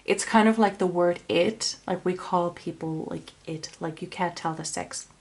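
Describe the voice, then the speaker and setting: A woman's voice: on the low side, moderate pace, darkish tone. A woman with a Finnish accent, a native Finnish speaker, giving a casual tarot reading to camera. She rambles, corrects herself and keeps apologizing. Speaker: tarot reader.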